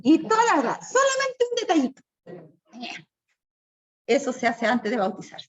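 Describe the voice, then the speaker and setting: A woman's voice: high-pitched, very animated, moderate pace, lo-fi sound. A woman leading a church Bible study, speaking in a firm, preachy yet chatty way.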